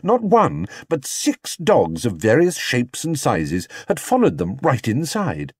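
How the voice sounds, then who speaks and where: A man's voice: mid-range voice, wildly swooping pitch, takes it slowly, darkish tone, husky, no echo, clean studio sound. A man reading a scripted children's story as an audiobook narrator.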